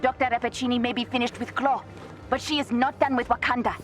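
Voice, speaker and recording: Woman playing an earnest, high-voiced young African princess. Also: little pitch movement, fast, close to an everyday voice. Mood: urgent. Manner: earnest, urgent. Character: young princess scientist, passionate and headstrong. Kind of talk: dramatic video game acting. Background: faint game music.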